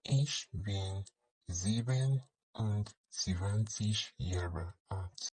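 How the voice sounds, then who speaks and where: A man acts as a young man introducing himself in a scripted language-lesson dialogue. The voice is low and husky, and he goes extremely slowly, one careful phrase at a time. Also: a little mellow, no echo, exaggerated voice, poor audio. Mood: plain, measured. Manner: very deliberate, phrase by phrase.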